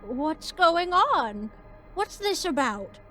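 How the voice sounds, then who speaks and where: A woman's voice: high voice, very animated, slow pace, a bit bright, soft background music, heavily acted. A woman playing a bewildered, nervous apartment neighbor in a horror audio story, hesitant in her delivery. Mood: confused, nervous.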